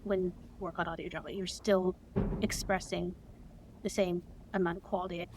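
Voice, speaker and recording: A woman's voice: lowish voice, level tone, moderate pace, noisy background. A woman guest on an interview, an audio drama creator, speaking enthusiastically with frequent ums. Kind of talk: casual interview answer.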